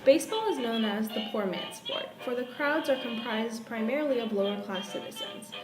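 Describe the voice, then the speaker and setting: A woman's voice: fairly high pitch, expressive, moderate pace. A young woman reading scripted newsreel narration as a student presenter. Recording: roomy echo, music under voice. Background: clearly audible music.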